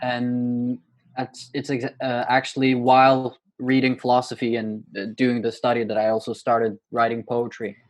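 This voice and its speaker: A man's voice: medium pitch, completely flat delivery, normal speed. Hesitant young Norwegian man. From Norway. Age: 20s. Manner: hesitant, many fillers and restarts. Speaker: guest poet. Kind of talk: casual interview.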